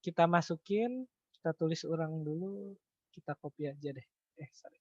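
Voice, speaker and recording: Guy tutor, kinda high voice, muffled online class audio, halting as he thinks thru numbers out loud. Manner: halting, mumbles figures while working, checks with listeners. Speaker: accounting tutor. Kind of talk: informal online lesson, thinking aloud through calculations. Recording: echoey room, dull lo-fi online-call audio.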